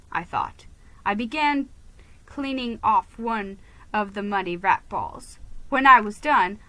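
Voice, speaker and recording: A woman giving an expressive, theatrical reading of a children's story. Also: mid-range voice, poor audio. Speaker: storybook reader.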